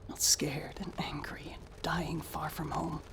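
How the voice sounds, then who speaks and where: A woman's voice: deep voice, little pitch movement, measured pace, gravelly, a bit bright, in a whisper, full character voice. An actress playing a proud, guilt-ridden female space mission commander with a clipped, formal manner in an audio drama. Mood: anguished. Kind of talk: naturalistic audio drama acting.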